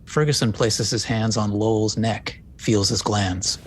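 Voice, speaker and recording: Male narrator, lowish register, scripted stage-direction read, light rumbling noise. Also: fairly even intonation, unhurried. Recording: faint low rumbling noise.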